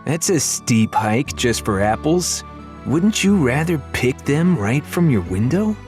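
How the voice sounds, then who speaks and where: A man's voice: mid-range voice, very animated, slow, a little mellow, faint music behind, voice pushed up. Man playing a teasing teenage older brother. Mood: dismayed, coaxing. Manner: mocking, bantering. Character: teasing grumbling fifteen-year-old older brother, a rustic farm lad.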